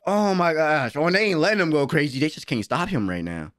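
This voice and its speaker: A young adult man giving excited, slangy live reaction commentary as a host, full of hyped exclamations. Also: fairly high pitch, brisk. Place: small room at home, indoors. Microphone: desk microphone, close.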